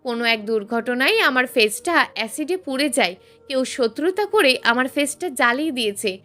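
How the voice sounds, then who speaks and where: A woman's voice: high-pitched, expressive, moderate pace, fairly bright tone. A woman voicing a scheming young female villain in an audio story, dramatic in delivery. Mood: pleading.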